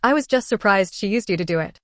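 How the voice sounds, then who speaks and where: A woman's voice: medium pitch, lively intonation, fast talker, a bit bright, no room sound, clean studio sound, close to an everyday voice. A woman voicing a weary, matter-of-fact brother. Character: weary, plain-spoken older brother.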